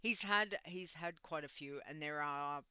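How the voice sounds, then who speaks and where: A woman's voice: low voice, unhurried, bright, forceful tone, clear, resonant tone, no echo, dull audio. A woman hosting a podcast in casual, rambling chat, sounding a bit congested and nasal. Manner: rambling and chatty, with laughs. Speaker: podcast host.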